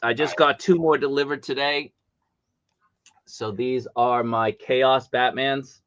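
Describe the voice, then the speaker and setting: A man hosting a live stream from home, chatty and animated, with a big voice and coming down with a cold. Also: mid-range voice, slow pace, smooth, no echo, muffled recording. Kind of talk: casual live stream chat.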